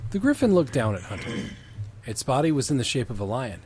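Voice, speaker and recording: Man, husky breathy voice, lively intonation, informal story narration, casual teasing banter. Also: mid-range voice, a little fast. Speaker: reader on a comedy reading show. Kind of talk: informal dramatic reading of fan fiction with banter.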